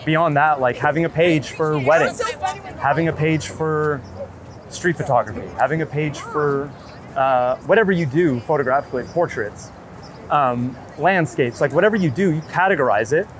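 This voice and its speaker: A man talking casually and earnestly as an interviewed photographer, rambling in a halting way. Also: on the high side. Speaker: street and wedding photographer being interviewed. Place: outdoors on a city street. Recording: street noise, passing traffic.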